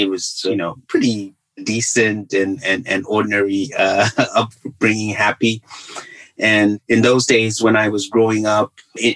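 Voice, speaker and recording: Casting: middle-aged male podcast guest, Zambian accent, lowish pitch, very level intonation, measured and courteous. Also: a bit bright, rough recording. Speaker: podcast guest, author and engineer. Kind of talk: reflective interview storytelling.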